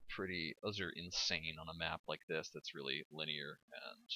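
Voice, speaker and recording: A man casting a strategy game replay in a chatty, halting way, laughing often, through a home microphone. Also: extremely low pitch, steady tone, sharp tone, no room sound, rough recording. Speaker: game caster. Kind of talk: casual strategy game commentary.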